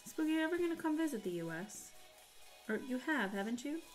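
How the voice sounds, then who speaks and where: A woman's voice: high voice, very animated, brisk, soft background music. A woman casually chatting with her live-stream audience while crafting, playful and teasing as she reads chat. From United States.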